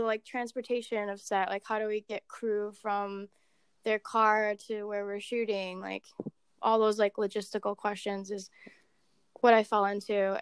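Monotone young woman, smooth crisp voice. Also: medium pitch, no room sound. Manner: level, monotone delivery. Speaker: podcast guest, assistant director. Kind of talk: casual chat.

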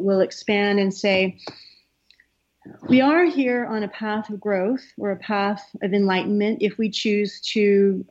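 A middle-aged woman from Canada, a soft-spoken guest who talks with many fillers and pauses. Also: mid-range voice. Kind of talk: casual interview talk. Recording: slightly dull, lo-fi sound.

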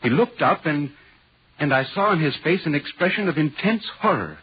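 An actor playing a polite, inquisitive newspaper reporter recounting an eerie tale in dramatic 1940s radio style. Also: male voice, a little high, animated, moderate pace, husky. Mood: eerie narration. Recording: dull, hissy 1940s radio recording.

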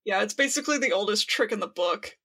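A woman's voice: medium pitch, animated, quick pace, pushed and bright, slightly rough. A woman co-hosting a podcast, talking in a playful, informal and emphatic way in a casual fan chat. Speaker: podcast co-host.

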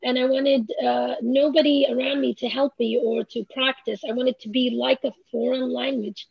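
High, gravelly-voiced woman. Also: flat delivery, normal speed, no echo. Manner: thoughtful, many fillers and restarts. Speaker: author and language researcher. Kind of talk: interview answers. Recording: slightly dull lo-fi sound.

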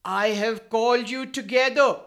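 A woman voicing a sly, threatening male fox in a children's story, loud and pleading when scared. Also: fairly high pitch, unhurried. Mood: alarmed.

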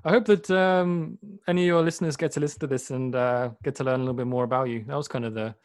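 A man hosting his podcast in a laid-back, fairly monotonous way of talking. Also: medium pitch, fast, smooth, no room sound, clean studio sound. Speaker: podcast host. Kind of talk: casual podcast chat.

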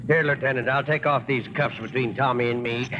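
An actor playing a friendly, brisk police sergeant in a 1950s radio crime drama. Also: male voice, mid-range voice, steady tone, noisy background, lightly acted. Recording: muffled old radio recording.

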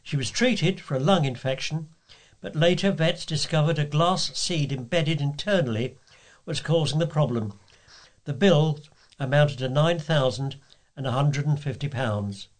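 A man's voice: on the high side, measured pace. A man reading scripted local news as a volunteer reader.

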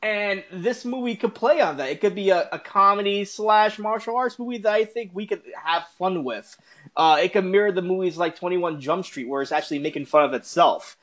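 A man's voice: high-pitched, brisk, a bit bright. A man co-hosting a podcast, chatting casually about movies in a playful, joking way. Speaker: podcast co-host.

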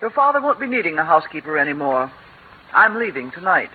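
A stern housekeeper, scolding and curt, tinny radio sound. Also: female voice, sits low, big pitch swings, fast, slightly rough, full character voice. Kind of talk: 1940s radio acting. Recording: tinny old radio broadcast.

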